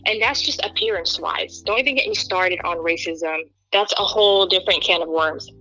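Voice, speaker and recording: A woman's voice: on the low side, fairly quick, faint music behind, low-quality recording. A young woman from Kenya as an interview guest, speaking in an earnest, emphatic way. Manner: earnest, emphatic, animated when quoting others. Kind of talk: candid interview talk.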